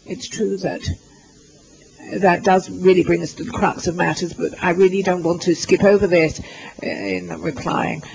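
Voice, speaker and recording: A man interpreting live at a university lecture, halting often with fillers and restarts as he renders the speakers' words. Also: sits high, little pitch movement, gravelly, rough recording. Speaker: simultaneous interpreter. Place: lecture hall.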